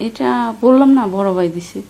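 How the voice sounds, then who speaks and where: A Bangladeshi woman talks quickly in a soft, mellow voice. She is coy and teasing, keeping up a flirtatious, casual chat over a recorded phone call. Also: on the high side, vocal fry.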